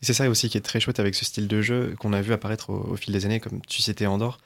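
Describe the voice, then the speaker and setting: A man with a slightly low, flat-toned voice talks rapidly. He gives casual commentary as a panelist on a board game show. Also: gravelly.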